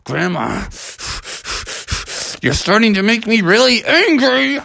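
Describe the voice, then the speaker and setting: A woman voicing an excitable, easily exasperated young boy bear in a story. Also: medium pitch, a little slow, voice pushed up. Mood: angry. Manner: exclaiming, indignant.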